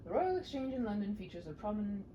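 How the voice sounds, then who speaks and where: A woman's voice: medium pitch, fast, highs cut off, a little hiss or hum. A woman reading a storybook aloud expressively, with chatty, casual asides. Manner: animated, chatty when stepping out of the text. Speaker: audiobook reader and host of the channel.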